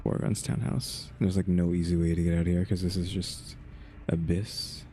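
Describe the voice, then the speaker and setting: Man, very deep voice, mellow, casual game commentary, muttered thinking aloud, faint music behind. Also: level tone, normal speed. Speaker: video game streamer. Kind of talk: casual gameplay commentary, reading quest text.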